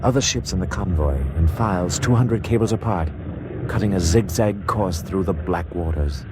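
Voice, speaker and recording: A man's voice: sits low, moderate pace, noisy background. A man giving grave, atmospheric scripted narration for a radio drama, told in the present tense. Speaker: scene narrator. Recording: loud sound-effect ambience behind voice.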